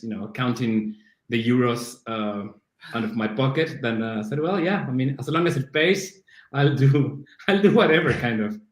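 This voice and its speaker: A middle-aged man from Argentina with an Argentine Spanish accent, a native Spanish speaker chatting as a podcast guest, self-deprecating and full of restarts. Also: medium pitch. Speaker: guest, CrossFit coach. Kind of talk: casual interview chat. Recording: roomy echo.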